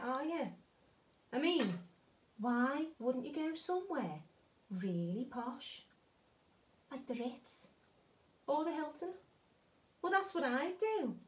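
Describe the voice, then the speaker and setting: A woman acting a jaded, wistful hotel cleaner in a stage monologue, blunt, wry and conversational. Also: sits high. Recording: roomy, echoing space.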